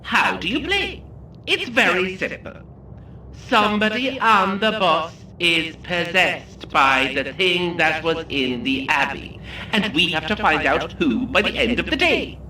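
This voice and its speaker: Tour guide for horror comedy audio drama: sardonic showman, slow delivery, big swooping range, macabre relish. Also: high-pitched, lively intonation, sharp tone, echoey room, recorded from a distance, a little hiss or hum, exaggerated voice, rough recording. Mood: gleeful explaining. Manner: taunting, showman-like, dramatic pauses.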